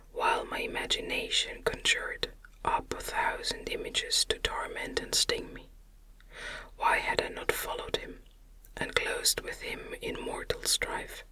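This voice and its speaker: A woman narrating a classic novel as ASMR in a breathy whisper. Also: pushed and bright. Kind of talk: whispered audiobook reading.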